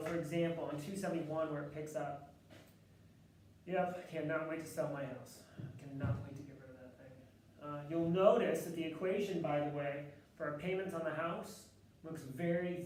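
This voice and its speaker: A man teaching a math class with a loud voice, talking casually and thinking aloud with fillers and self-corrections. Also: a little high, slight background noise. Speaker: math instructor. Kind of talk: classroom lecture. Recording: echoey classroom, distant mic.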